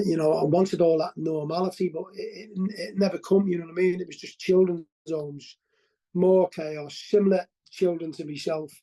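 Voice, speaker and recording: A man's voice: on the high side, warm tone, rough recording. A middle-aged man with a Liverpool accent giving a candid, rambling testimony at a recovery meeting over a video call, often asking "you know what I mean?" and restarting his words. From Liverpool, England.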